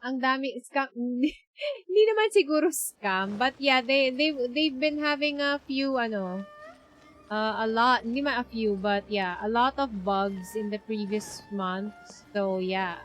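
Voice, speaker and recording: A woman's voice: a little high, a little slow, fairly bright tone, faint music behind, poor audio. A woman with a Filipino accent giving casual live gaming commentary, switching between two languages. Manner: mixes Tagalog into English. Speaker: game streamer.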